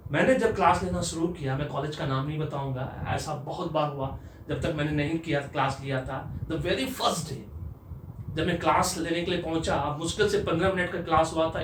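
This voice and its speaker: A middle-aged man from Bihar, lecturing with earnest emphasis. His voice sits somewhat high and grows louder when he presses a point. He is recorded from some distance in an echoey room with faint background hiss. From Bihar, India. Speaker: professor. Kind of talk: motivational talk to camera. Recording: echoey room, mic far off.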